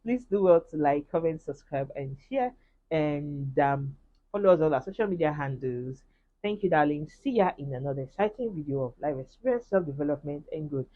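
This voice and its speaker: A woman's voice: low-pitched, lively intonation, normal speed, muffled recording. A woman with a Nigerian accent, earnestly sharing a personal faith testimony in a casual vlog and speaking straight to her viewers. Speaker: vlogger.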